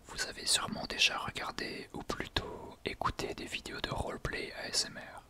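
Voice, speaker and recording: Male ASMR host, fully whispered and breathy, gentle explanatory delivery with hesitant pauses. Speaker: ASMR video creator, host. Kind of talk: whispered ASMR talk to listeners.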